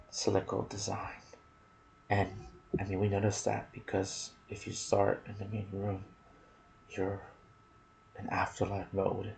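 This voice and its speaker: A man with a low, creaky voice narrates game lore in ASMR style. He speaks softly in halting phrases, often dropping toward a whisper, over faint steady noise. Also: low-quality recording. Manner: soft-spoken, breaking into whispers. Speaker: gaming channel host.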